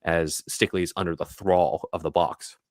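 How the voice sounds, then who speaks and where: Low voiced guy hosting a film podcast, chatty and explainy, really dry sound. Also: steady tone, a little slow, no echo. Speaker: podcast host. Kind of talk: casual scene-by-scene film discussion.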